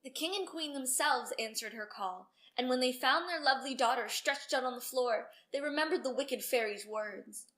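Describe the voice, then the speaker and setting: High, bright-voiced woman reading a storybook. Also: normal speed, hardly any pauses. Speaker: children's storyteller. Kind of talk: expressive read-aloud storybook narration.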